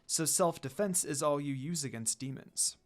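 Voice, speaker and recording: A stately demon noblewoman from a storybook tale, read by a man with a slightly high voice. She is a formal, questioning mother with a softer side. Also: normal speed, natural voice. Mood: probing, formal. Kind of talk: storybook character reading.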